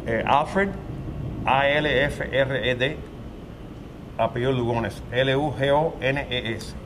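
A man's voice: medium pitch, steady tone, unhurried. Older man recounting a crash, street noise. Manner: plain, hesitant retelling with fillers. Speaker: eyewitness. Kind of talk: street interview. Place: outdoor covered sidewalk by a street.

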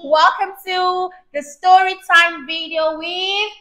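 A young woman reading a story aloud to a child, expressive and teacher-like, emphasising words and asking questions. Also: extremely high pitch, slow pace. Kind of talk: animated read-aloud to a child. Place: small indoor room, on a sofa. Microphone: clip-on lapel mic.

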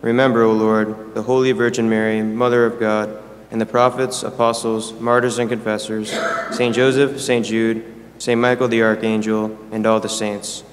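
A young man reads prayers of intercession in a steady, level voice with little rise and fall. The sound carries through a large church with a long reverb tail. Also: lowish voice, normal speed. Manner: steady even reading of petitions. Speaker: deacon reading intercessions. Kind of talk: liturgical reading. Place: large church, side of the sanctuary. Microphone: far camera across the church.

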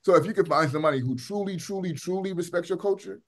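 A man's voice: high-pitched, fast talker. A man leading a class discussion over a video call, chatting in a loose way and pressing students with pointed questions. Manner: conversational, repeats words and asks pointed questions. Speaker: lecturer.

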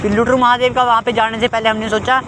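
Young male travel vlogger, chatty and casual, filmed outdoors on a phone with clear road and wind noise. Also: extremely high pitch, flat delivery, fast talker. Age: young adult. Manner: chatty, calls viewers brother constantly. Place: outdoors on mountain roads and trails. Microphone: phone or helmet camera.

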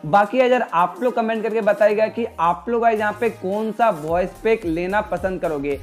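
A man's voice: high voice, normal speed. Indian man narrating gaming news over music. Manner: repetitive, addresses listeners constantly as friends. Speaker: gaming YouTuber host. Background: background music.

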